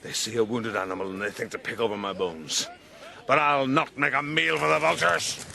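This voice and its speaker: A man playing a pompous, indignant gentleman captain, scornful of anyone who crosses him, in a period radio drama. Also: mid-range voice, little pitch movement, normal speed, gravelly. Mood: outraged, contemptuous. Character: blustering, indignant gentleman captain beset by creditors.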